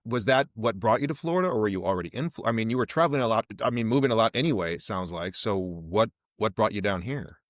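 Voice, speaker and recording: A man's voice: lowish voice, expressive, quick pace, hardly any pauses, dry recording, studio quality. A man hosting an interview podcast in a casual, conversational way.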